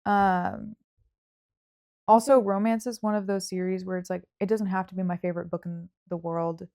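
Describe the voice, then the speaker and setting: A woman's voice: fairly low pitch, fairly even intonation, moderate pace, vocal fry, a little mellow. A woman podcast host in a casual book chat, talking informally with hedges and lots of "like" sprinkled through. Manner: informal, hedging, frequent "like".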